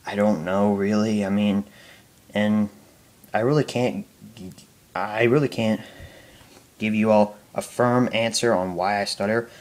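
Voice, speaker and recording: A young man talks casually at home, answering questions from his viewers. His voice sits on the low side, and he stutters, often breaking off and restarting his words between fillers. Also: fairly even intonation, a little slow, long pauses, a little mellow. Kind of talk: casual Q&A talk to camera.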